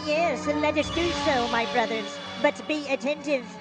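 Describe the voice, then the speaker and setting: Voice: sits high, natural voice. An actor voicing the formal, commanding leader of furry alien invaders in a radio play. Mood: commanding, curious. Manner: formal, deliberate, imperious. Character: commanding alien leader of beaver-like creatures, plotting invasion. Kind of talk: old-time radio play acting. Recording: music behind.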